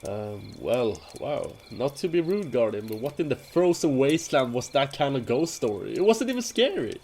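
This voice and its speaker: Man acting a brash, animated fantasy hunter. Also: mid-range voice, moderate pace. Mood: sarcastic. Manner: theatrical, bantering. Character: brash, teasing fantasy hunter leading his companions. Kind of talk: audio drama dialogue. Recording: noisy track with cricket ambience. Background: crickets and night insects.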